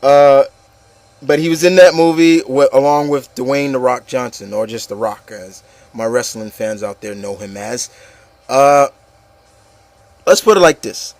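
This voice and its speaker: A man hosts a casual group discussion in a dry, close recording. His pitch sits in the middle. He speaks emphatically and opinionatedly, circling back and repeating phrases to drive a point home. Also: measured pace, darkish tone, no echo. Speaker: host of an online opinion show.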